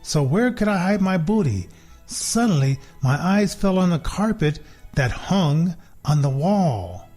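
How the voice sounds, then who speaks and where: A sly, self-satisfied big house cat , read by a man, tells its own storybook tale. The voice is a little high and the telling measured and deliberate, over soft music. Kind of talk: storybook reading for children.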